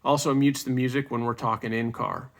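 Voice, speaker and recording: Gravelly man reviewing gear casually. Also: medium pitch, steady tone, fairly quick. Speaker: off-road hobbyist reviewing gear. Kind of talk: casual product walkthrough vlog.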